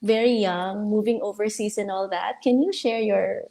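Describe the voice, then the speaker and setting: A woman's voice: mid-range voice, steady tone, moderate pace, gravelly, warm tone. A woman hosting an online livestream interview in an encouraging, admiring manner. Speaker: interview host.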